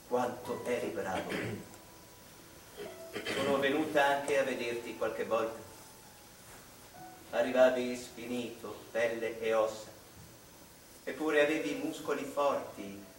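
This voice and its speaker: A man's voice: medium pitch, slight background noise, close to an everyday voice. A man voicing a doting mother who remembers her son with tender, theatrical admiration. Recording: echoey room, distant mic, music behind.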